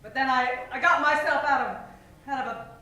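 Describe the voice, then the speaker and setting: A woman's voice: sits high, normal speed, pushed and bright. A woman acting a sly, sultry femme fatale with a coolly teasing manner in a noir radio parody. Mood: guarded, sly. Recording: echoey, distant live stage recording. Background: faint music.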